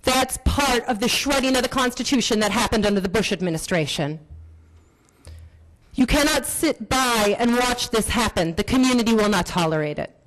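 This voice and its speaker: A woman speaking at a county board meeting, delivering an impassioned public comment in forceful, accusatory, repetitive rhetoric. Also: fairly high pitch, expressive, normal speed, rough recording.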